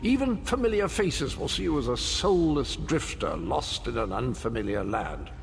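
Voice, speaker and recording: An actor playing a jolly, eccentric gentleman knight in a video game, with a chummy, flamboyant upper-class British manner. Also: medium pitch, sing-song, moderate pace, vocal fry. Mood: cheerful, inviting. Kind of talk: video game character acting. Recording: long reverb, music behind.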